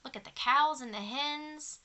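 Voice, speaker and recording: A woman's voice: high voice, unhurried, sharp tone. A woman reading a picture book aloud to children expressively, in simple phrasing, as a storytime reader. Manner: asks young listeners questions, simple clear phrasing.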